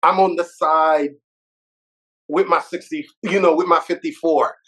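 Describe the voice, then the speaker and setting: Haitian-accented man, slightly high voice. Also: unhurried. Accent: Haitian with New York City mixed in. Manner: jokey, self-deprecating, lively storytelling. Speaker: podcast host. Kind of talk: casual interview chat.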